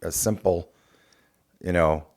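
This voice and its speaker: A man's voice: low-pitched, slow, darkish tone. A man co-hosting a casual podcast chat, speaking in a hesitant way and often interrupting himself. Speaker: podcast co-host.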